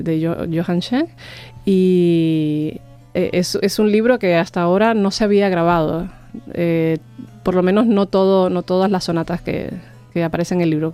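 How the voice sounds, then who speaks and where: A woman from Cuba, a viola da gamba player speaking haltingly as a guest in an interview, with many restarts. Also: on the low side, dark tone, clean tone. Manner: hesitant, many restarts and fillers. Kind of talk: radio interview.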